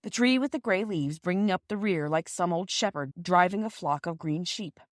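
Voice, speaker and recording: Low-pitched woman reading a storybook. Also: lively intonation, normal speed, no room sound, studio quality. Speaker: audiobook reader and podcast host. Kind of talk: scripted storybook narration.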